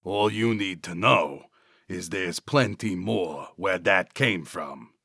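A man's voice: deep voice, takes it slowly, bright, forceful tone, dry recording, studio quality, exaggerated voice. A man voicing a stunted, irritable male schemer in an audiobook, with a gargling, curt, evasive manner. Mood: curt, sneering. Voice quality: gargling, throaty. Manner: grumbling, curt, sneering.

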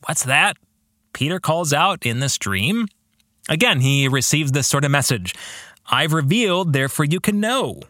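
Male podcast host, reflective faith talk. Bright, lively intonation, deliberate halting phrasing, dry close sound. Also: a little high, no echo. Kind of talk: reflective spoken book discussion, faith talk.

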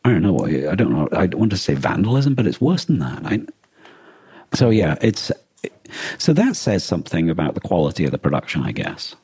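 A low-voiced man co-hosts a casual podcast discussion. His tone is dark and rough-edged rather than crisp. He explains things thoughtfully and a little hesitantly, in a very dry, close recording. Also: sing-song, a little fast, dry recording. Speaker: podcast co-host.

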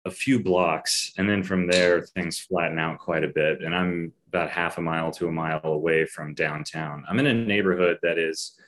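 Deep-voiced male writer, measured. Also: steady tone, a bit bright. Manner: measured, descriptive, with dry asides. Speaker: writer and podcast guest. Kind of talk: casual conversational interview.

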